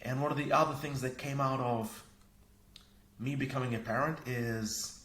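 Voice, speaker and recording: Energetic fiji-born guy on a podcast, sounds kinda echoey, mid pitch. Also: moderate pace. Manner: energetic, upbeat, laughs easily. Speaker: podcast guest, parenting coach. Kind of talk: casual conversation. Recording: echoey room.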